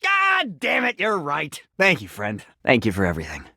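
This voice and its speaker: A man's voice: on the high side, wildly swooping pitch, moderate pace, creaky, pushed and bright, no echo, studio quality, exaggerated voice. An actor playing a confused, sentimental sink who thinks he is human in a video game, with theatrical, flowery delivery. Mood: excited outburst, then wistful, tender. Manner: theatrical, flowery, swinging between formal and exclamatory. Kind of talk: video game character acting.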